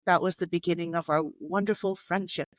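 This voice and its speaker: A woman's voice: lowish voice, fairly even intonation, a little fast, dry recording. A woman in her forties, originally from Hong Kong, speaking as a podcast guest and telling her life story in a candid, reflective way. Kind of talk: conversational podcast interview.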